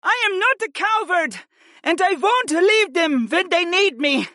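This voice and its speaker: A man acts out a young hawk warrior princess in a fantasy audiobook. The voice is pushed high and loud, swinging with emphatic defiance. She sounds fierce and protective. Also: expressive, a little slow, dry recording, studio quality, full character voice. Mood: defiant, urgent shouting. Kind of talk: dramatic audiobook character acting.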